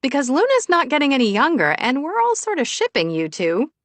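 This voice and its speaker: A woman's voice: high voice, wildly swooping pitch, a little fast, no echo, pitched above the performer's own voice. A woman voicing a playful, teasing, meddling grandmother in an audiobook. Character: cheeky, meddling grandmother teasing about romance.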